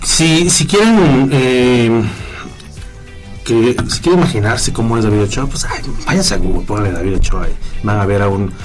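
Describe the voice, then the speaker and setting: A man from southeast Mexico with a Mexican accent, a relaxed, joking radio guest with many fillers and restarts. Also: medium pitch, moderate pace, faint music behind. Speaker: guest, tech podcaster. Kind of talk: radio interview.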